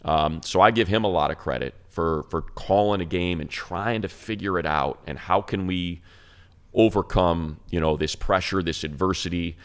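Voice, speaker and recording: A low-pitched American man talks sports as a show co-host. He explains things conversationally and with emphasis, posing questions to make his points. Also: normal speed, fairly bright tone. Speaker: sports show co-host and radio play-by-play announcer. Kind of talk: sports talk analysis, conversational.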